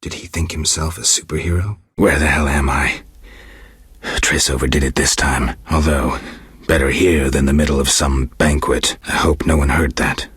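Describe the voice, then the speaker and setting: A man playing a gruff, laconic monster hunter for hire in a video game, speaking tersely with a dry, deadpan delivery and a gravelly rasp. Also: extremely low pitch, normal speed, dry recording, full character voice. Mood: wry, brooding. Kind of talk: video game dialogue acting.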